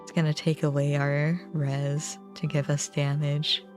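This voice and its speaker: A woman's voice: sits low, level tone, measured pace, darkish tone, faint music behind. A woman livestreamer chatting casually with viewers in a rambling, self-deprecating way. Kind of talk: casual gaming stream chat.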